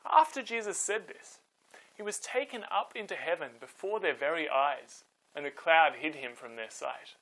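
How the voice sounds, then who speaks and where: Male children's storyteller, high voice, very animated intonation, dramatic emphasis, scripted narration. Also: moderate pace, poor audio.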